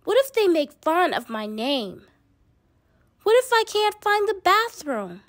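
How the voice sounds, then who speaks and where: A woman's voice: very high voice, big pitch swings, measured pace, no room sound, clean studio sound, pitched above the performer's own voice, heavily acted. A woman voicing a small, fretful mouse girl who worries and questions everything in a children's storybook. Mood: anxious. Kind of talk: children's storybook read-aloud.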